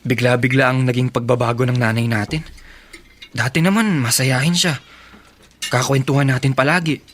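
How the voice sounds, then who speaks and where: Over faint noise, a concerned young elder brother played by a man speaks in a medium-pitched voice. His phrasing is pensive and measured, as in a radio drama. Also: fairly even intonation, fairly bright tone, lightly acted. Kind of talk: Filipino radio drama acting.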